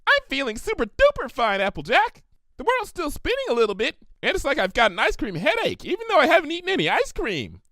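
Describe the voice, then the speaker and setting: A man voicing a bubbly, silly young female cartoon pony, chirpy and excitable, in a story reading. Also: very high-pitched, quick pace, dry recording, studio quality, voice pushed up, exaggerated voice. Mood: giddy, excited.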